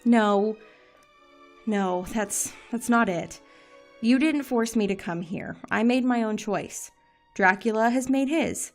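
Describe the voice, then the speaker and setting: A woman's voice: fairly high pitch, soft background music. Actress as driven, wisecracking female combat trainer. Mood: tense, sardonic. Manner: brisk, blunt, sardonic. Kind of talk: audio drama acting.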